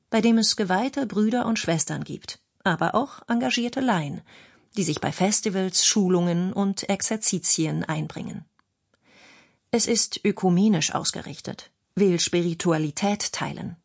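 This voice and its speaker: A woman's voice: medium pitch, creaky, darkish tone. A woman reads a scripted documentary narration as a radio feature narrator.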